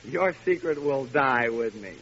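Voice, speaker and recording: An actor playing a smug, sly young waiter in a 1940s radio comedy, self-assured and innocent-sounding. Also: male voice, mid-range voice, lively intonation, unhurried, crowd noise. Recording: muffled old radio recording, faint noise.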